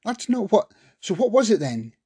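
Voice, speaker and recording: A man voicing an angry, volatile man whose bitter words rise into yelling at his partner. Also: high voice, fast, gravelly, breathy edge, no echo, clean studio sound, pitched above the performer's own voice, exaggerated voice. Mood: furious.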